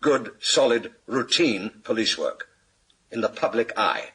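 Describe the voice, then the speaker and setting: An actor playing a pompous, image-obsessed senior British police chief in a radio thriller, formal and given to pauses. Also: male voice, fairly low pitch, sing-song, fairly bright tone, slightly rough, full character voice, poor audio. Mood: stern, reprimanding. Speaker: actor in a radio crime drama.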